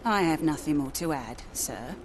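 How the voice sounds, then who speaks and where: A woman's voice: low-pitched, lively intonation, moderate pace, noisy background. An actress playing a guarded, plain-spoken woman who runs a Victorian port tavern in a video game. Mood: defensive. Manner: wary, matter-of-fact. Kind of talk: video game cutscene acting.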